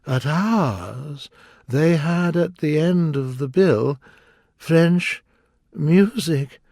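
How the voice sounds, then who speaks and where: A gloomy storybook sea creature that sighs through every word, as a man puts him on. The voice sits high and is dragged out at a slow, mournful crawl, with gravel in it. Close, dry sound. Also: animated, darkish tone, no echo, exaggerated voice. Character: melancholy sighing sea creature, male, old. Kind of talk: audiobook reading.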